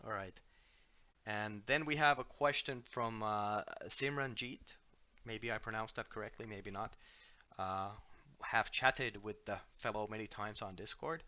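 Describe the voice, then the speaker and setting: A man's voice: on the low side, unhurried, clean tone, lo-fi sound. Finnish-accented man hosting a game livestream. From Finland. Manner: relaxed, self-deprecating, frequent filler words and restarts. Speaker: livestream host from the game company. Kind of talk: casual livestream chat while playing and reading viewer questions. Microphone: headset.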